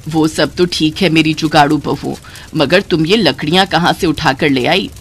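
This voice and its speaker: A woman's voice: deep voice, normal speed, breathy edge, voice pushed down. Woman as a motherly, chiding middle-aged mother-in-law. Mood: gently chiding. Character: middle-aged mother-in-law, exasperated but fond matriarch.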